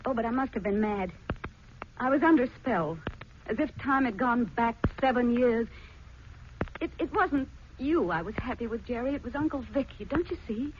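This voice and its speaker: An actress playing a troubled, gentle married woman in a 1940s radio drama, earnest and pleading. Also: a little high, moderate pace, dull audio, lightly acted. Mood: wistful, troubled. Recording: noisy, band-limited 1940s radio recording.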